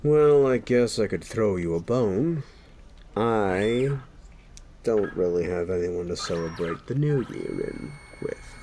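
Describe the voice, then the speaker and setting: Man voicing a low, slow-drawling werewolf bully boss. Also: a little mellow, a little hiss or hum, full character voice. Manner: sardonic, taunting, drawling. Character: sleazy, bullying male werewolf boss, gruffly flirtatious. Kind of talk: scripted audio roleplay addressed to the listener.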